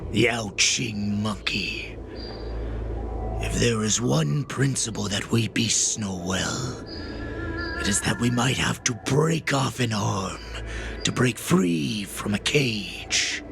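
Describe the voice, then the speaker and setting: An actor voicing a proud, defiant wolf-beast warrior in a video game, with a gravelly, breathy growl and a solemn manner. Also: male voice, lowish voice. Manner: slow, solemn, menacing. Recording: music behind the voice. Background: game music.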